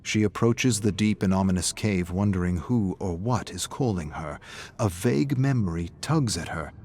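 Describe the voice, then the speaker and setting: A man narrating a scripted story in a brooding, measured, dramatic way, with phrases that trail off hushed. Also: sits low, a little hiss or hum. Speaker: lore narrator.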